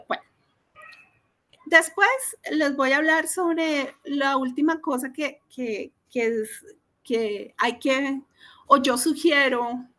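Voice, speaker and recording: A woman's voice: fairly high pitch, fairly bright tone. A woman, a language teacher trainer, giving an explanatory online talk, thinking aloud and checking in with her listeners.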